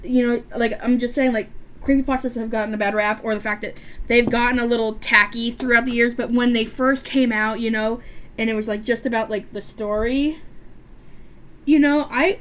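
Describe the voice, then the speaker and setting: A woman's voice: a little high, a bit bright. A woman of about twenty chatting casually while doing her makeup, with a stutter and a lisp and a rambling way of talking. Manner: rambling, chatty, frequent restarts and fillers. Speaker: beauty vlogger.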